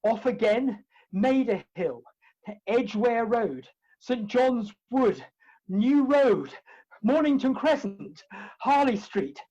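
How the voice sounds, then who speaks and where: Breathless, frantic gentleman, played by a woman. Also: fairly high pitch, animated, slow pace, muffled recording. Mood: breathless, exhausted. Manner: clipped, breathless list-like storytelling. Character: frantic, exhausted gentleman Fogarty, fleeing a pursuing woman. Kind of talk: comic stage farce acting.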